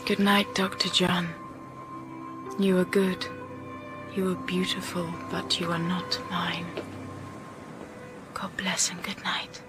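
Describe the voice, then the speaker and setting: An actress playing a reserved, melancholy and intense young teacher in a period drama, speaking in formal, measured, hushed phrases. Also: female voice, lowish voice, completely flat delivery, music in the background. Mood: wistful. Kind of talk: period literary audio drama acting and narration.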